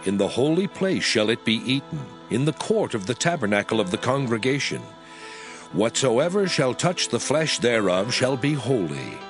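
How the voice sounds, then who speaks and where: God, solemnly declaiming with sweeping pitch. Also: male voice, very animated, normal speed. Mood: solemn, commanding. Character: God solemnly proclaiming sacred laws to Moses. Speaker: scripture voice actor. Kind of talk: dramatized scripture reading. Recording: music under the voice. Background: background music.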